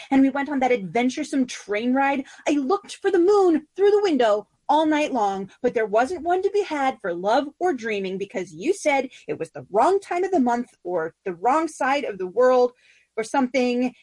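A woman voicing an excitable young fae spider queen who gushes and pleads in a high, breathless voice. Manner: gushing, petulant, high and breathless.

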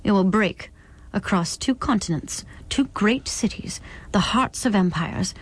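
A woman's voice: mid-range voice, unhurried, breathy edge. A woman voicing a stern, cryptic otherworldly visitor in a dramatic book reading, solemn and commanding. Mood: solemn warning.

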